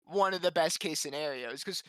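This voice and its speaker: A man chatting casually as a tabletop game player, animated and prone to laughing. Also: a little high, brisk, no echo. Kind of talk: casual group chat.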